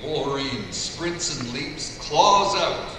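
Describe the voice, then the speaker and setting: A man's voice: fairly high pitch, lively intonation, takes it slowly. A man narrating stage directions at a live table read, recorded from a distance in a noisy hall. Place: large convention room with live audience. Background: room ambience noise.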